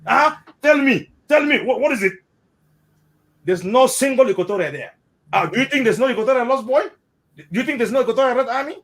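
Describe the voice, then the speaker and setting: Animated South Sudanese man, high lively voice. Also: fairly quick. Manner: animated, repeats words, mixes in Arabic phrases. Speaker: interviewee. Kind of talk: casual spoken commentary.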